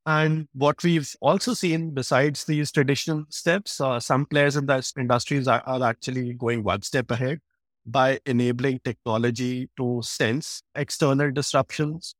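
A man's voice: medium pitch, steady tone, moderate pace, dry recording, clean studio sound. A man speaking as an expert guest in an interview, explaining things in a structured, methodical way. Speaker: guest expert, supply chain practice lead.

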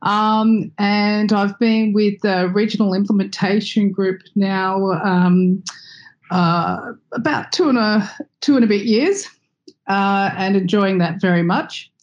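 A woman's voice: medium pitch, slow, lo-fi sound. Aussie woman on a podcast, chatty and friendly, says um a lot. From Australia. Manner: friendly, chatty, many ums and uhs. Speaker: guest expert. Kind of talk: podcast interview answers.

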